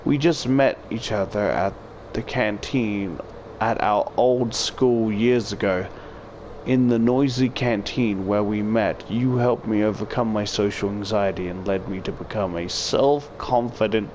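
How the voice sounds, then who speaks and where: A man voicing a shy, earnest female transfer student in a visual novel, reading her letter haltingly and sincerely. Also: on the low side, a little mellow, a little hiss or hum, natural voice. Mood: earnest, confessional.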